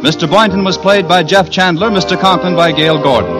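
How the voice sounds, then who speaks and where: A man's voice: sits high, fairly quick. A man with an American accent reading credits as an old-time radio announcer. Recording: old radio recording with music. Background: music.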